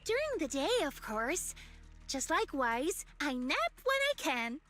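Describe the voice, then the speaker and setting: A dreamy, innocent little girl from a game. Her speech is very high and unhurried, with airy, swooping intonation. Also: very animated, a bit bright, soft background music, heavily acted. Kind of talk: scripted game voice acting.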